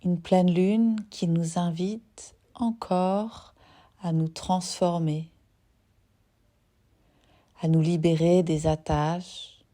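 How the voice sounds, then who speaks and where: A woman leads a guided yoga nidra relaxation as the teacher, soothing and unhurried, leaving long pauses between short phrases. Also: lowish voice, fairly even intonation, mellow. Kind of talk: guided meditation.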